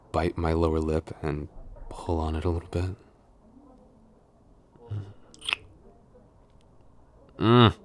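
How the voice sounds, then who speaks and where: Casting: male actor as grumbling but smitten tsundere office boyfriend, extremely low voice, intimate and hesitant ASMR roleplay delivery, audible background noise. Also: darkish tone. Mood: breathless, smitten. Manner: hesitant, mock-scolding, intimate.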